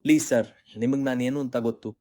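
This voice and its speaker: A man's voice: mid-range voice, fairly even intonation, a little fast, warm tone, no room sound, clean studio sound, natural voice. A man with an Indian accent playing an earnest, dejected young employee, apologetic and hesitant, in short-film drama dialogue. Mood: pleading.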